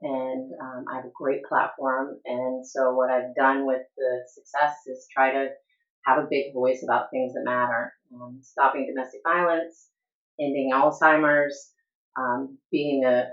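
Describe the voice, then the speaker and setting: A woman guest talking candidly in an interview, laughing often and pausing with "um" between thoughts. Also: a bass voice. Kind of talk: casual interview talk. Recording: echoey room, dull lo-fi sound.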